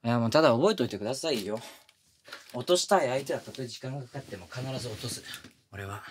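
A man's voice: mid-range voice, expressive, fast talker, a little hiss or hum, lightly acted. A man playing a pushy, flirtatious younger coworker with puppyish eagerness, speaking casually and intimately to the listener in a romantic audio drama. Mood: low, seductive. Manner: casual junior-to-senior speech, teasing and coaxing. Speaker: voice actor in a romantic situation voice drama. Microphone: close binaural studio microphone.

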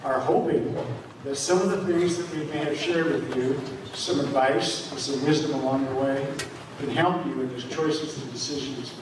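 A man's voice: medium pitch, steady tone, normal speed, background noise. Older man giving earnest advice in an echoing gym. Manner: earnest, advising. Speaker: commencement speaker. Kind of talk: formal speech. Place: large school gymnasium, at a podium. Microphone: podium microphone, heard from the back of the room. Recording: echoey gym, heard from far off.

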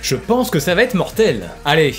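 A man's voice: on the high side, huge pitch swings, normal speed. Upbeat young French man reacting to a concert. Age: young adult. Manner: enthusiastic, slangy, talks straight to viewers. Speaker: music reaction youtuber. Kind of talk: casual reaction commentary. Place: small home room with guitar on the wall. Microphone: studio condenser mic on a boom, headphones on. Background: faint concert music playing.

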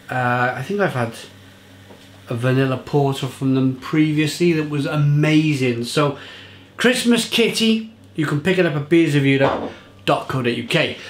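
A man's voice: fairly high pitch, echoey room. A man in his thirties chatting to camera at home in his kitchen, reviewing a beer with enthusiasm. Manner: chatty, enthusiastic, restarts and fillers. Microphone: fixed camera at table.

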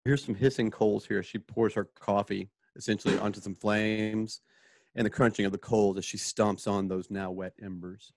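A man's voice: lowish voice, steady tone, moderate pace, vocal fry, a little mellow, no echo. A man narrating a radio play, casually describing the action and sound effects as he goes. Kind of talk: casual live narration of stage directions and sound cues. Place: home, remote video call.

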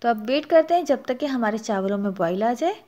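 A woman's voice: on the high side, expressive, brisk, warm tone. A woman with a Pakistani Urdu accent hosting a cooking channel, narrating a recipe step by step and adding practical tips. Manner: explanatory, instructive, gives practical tips.

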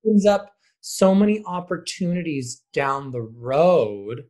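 A man's voice: on the high side, sing-song, a little slow, a little mellow. A man speaking as a podcast guest and theater director, giving casual, conversational answers.

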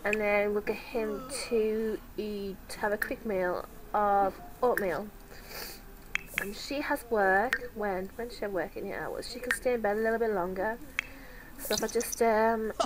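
A woman's voice: mid-range voice, fairly even intonation, measured pace, noisy background. Woman with a cold, chatting. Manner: chatty, talks to her game characters. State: sick with a cold. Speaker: let's play host. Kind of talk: casual gameplay commentary.

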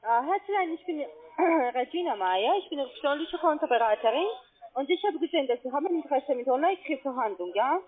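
A woman with a foreign accent in German, giving a halting telephone sales pitch and often ending phrases on questioning tags. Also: animated. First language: not German. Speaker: call centre agent. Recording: tinny narrow phone line.

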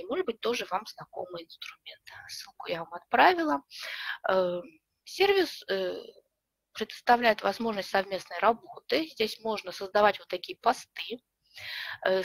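A middle-aged woman presenting an online webinar from home, explaining a tool step by step in a friendly way with frequent pauses and fillers, a slight cough lingering. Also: a little high, big pitch swings, creaky, no echo. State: recovering from a cough. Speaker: webinar presenter, librarian and teacher. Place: home room with bookshelves. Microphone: webcam video call.

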